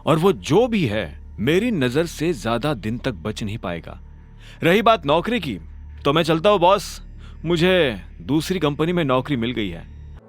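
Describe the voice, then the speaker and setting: A male narrator voicing a calm, determined young hero in a storybook audio drama. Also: a little high, measured pace, soft background music.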